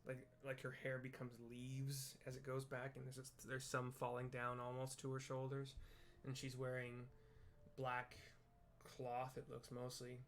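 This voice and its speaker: A man casually describing game scenes as he plays, in a low-key, halting, improvised way. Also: completely flat delivery, slight background noise.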